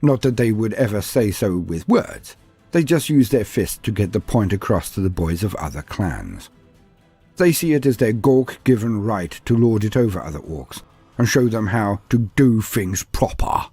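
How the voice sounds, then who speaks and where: Man, dramatic lore narration, warm dark timbre, dry close recording, music behind. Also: on the low side, sing-song, normal speed, husky, dry recording. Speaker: narrator of a fantasy war-game lore video.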